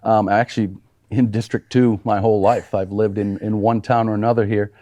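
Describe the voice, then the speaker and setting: A man's voice: on the low side, flat delivery, a little fast, mellow, dry recording. Earnest man from New Hampshire. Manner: earnest, rambling with fillers. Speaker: congressional candidate guest. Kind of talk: interview storytelling. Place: small TV studio.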